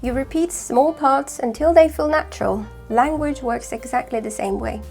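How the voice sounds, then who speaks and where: A woman's voice: high voice, moderate pace, gravelly, over music. Farsi-native woman with a British accent. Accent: British with slight northern English influence. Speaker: language-learning video host. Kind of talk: scripted talk to camera.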